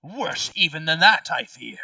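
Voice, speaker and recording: A man putting on a bright, pompous aggrieved nobleman. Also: fairly high pitch, expressive, a little slow, creaky, distant mic, full character voice, poor audio. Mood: grave lament. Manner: theatrical, formal, pleading. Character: aggrieved pompous nobleman petitioning the king, grave and dramatic. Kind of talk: amateur role-play in a party game.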